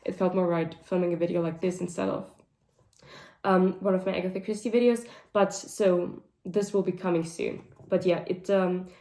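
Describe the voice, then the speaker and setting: A woman with a hoarse, raspy voice talking casually through a book wrap-up, with lots of "like" and "um" fillers. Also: on the low side, warm tone. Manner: rambling, full of "like" and "um" fillers. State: hoarse, voice sounding deep and raspy. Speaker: book vlogger. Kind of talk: casual monthly reading wrap-up chat. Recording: roomy echo.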